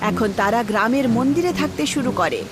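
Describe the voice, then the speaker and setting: A woman's voice: fairly high pitch, animated, fast, very noisy. A woman narrating a scripted cartoon story.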